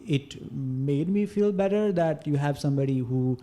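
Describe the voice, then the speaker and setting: Nepali guy in his 30s, slightly high but warm mellow voice, lots of uh and you know, thoughtful interview guest. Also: normal speed. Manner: thoughtful, hesitant, many fillers like "uh" and "you know". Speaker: podcast guest. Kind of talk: casual interview conversation.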